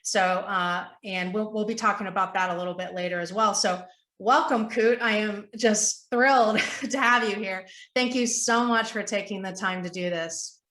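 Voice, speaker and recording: A woman's voice: medium pitch, normal speed, a bit bright. Upbeat woman hosting in an echoey room. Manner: upbeat, gushing, motivational. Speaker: podcast host and health coach. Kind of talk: enthusiastic podcast interviewing.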